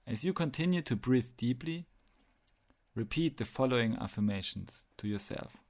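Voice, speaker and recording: A man narrating a guided meditation, speaking in measured phrases with pauses as he gives instructions. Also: mid-range voice, animated, a little mellow.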